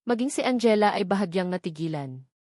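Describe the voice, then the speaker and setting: A Filipino woman narrates a dramatic story in a scripted storytelling style. Her voice sits at a medium pitch, and the recording is dry with no room sound. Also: animated, brisk, warm tone, no echo.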